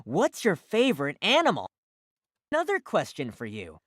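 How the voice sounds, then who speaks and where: A woman's voice: on the low side, huge pitch swings, moderate pace, no echo, studio quality. A woman voicing cheerful, inquisitive puppy characters in an educational preschool cartoon, with an exaggerated sing-song teaching delivery. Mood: bright, inquisitive. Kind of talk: scripted children's educational cartoon dialogue.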